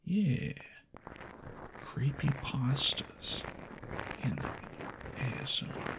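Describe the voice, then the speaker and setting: A man whispering softly in a casual, unhurried ASMR chat with viewers, close to the microphone. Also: mid-range voice, lively intonation, heavy background noise. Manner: soft whispering and murmuring, unhurried. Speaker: ASMR live streamer.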